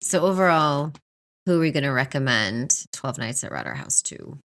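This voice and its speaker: A woman's voice: a bass voice, big pitch swings, moderate pace, a bit bright. A woman co-hosting a book podcast, speaking informally and with enthusiasm in a casual review chat. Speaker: podcast co-host discussing books.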